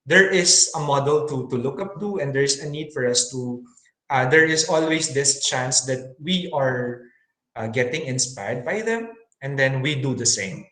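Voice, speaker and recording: A man giving an earnest webinar talk on teaching science in English, pausing often with fillers and restarts. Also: mid-range voice, lively intonation, a bit bright, echoey room, low-quality recording. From Philippines. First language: Filipino.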